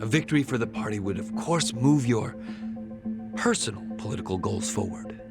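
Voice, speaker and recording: An actor playing a cold, ambitious younger politician in an English game dub, measured, commanding and condescending, with threat in his manner. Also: fairly low pitch, lively intonation, gravelly. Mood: calm, calculating. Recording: loud background music.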